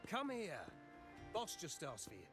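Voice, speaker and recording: A man voice-acting a brisk, impatient henchman in a video game. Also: high voice, wildly swooping pitch, loud music behind the voice, heavily acted. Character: brisk, impatient male henchman hurrying a colleague.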